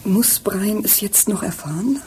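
A woman's voice: mid-range voice, measured pace, mellow, a little hiss or hum, lightly acted, rough recording. An actress playing an anxious married woman confiding a secret in a German radio drama, speaking hesitantly. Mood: anxious, pleading.

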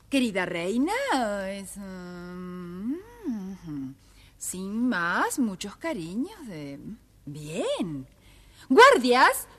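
A woman's voice: a little high, a bit bright, exaggerated voice. An actress playing a stately, gracious queen in a theatrical children's audio story. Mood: gracious, reading.

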